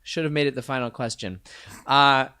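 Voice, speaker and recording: A man's voice: medium pitch, fairly even intonation, normal speed, bright, forceful tone, smooth. A man hosting a live quiz night, reading out questions and answers with joking asides. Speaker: trivia quiz host.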